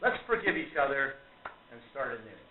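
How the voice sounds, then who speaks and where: A man's voice: medium pitch, very animated, normal speed, background noise, lightly acted. A man playing a proud, enthusiastic stage magician in a radio play, speaking in an earnest, emphatic way. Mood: affectionate. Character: proud, boyishly enthusiastic male stage magician, warm to friends. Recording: tinny phone-like, roomy recording.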